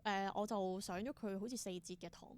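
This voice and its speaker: A woman's voice: mid-range voice, steady tone, brisk, clean tone. A young adult woman with a Hong Kong Cantonese accent, telling stories casually as an interview guest and acting out bits of dialogue. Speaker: podcast guest, theatre performer.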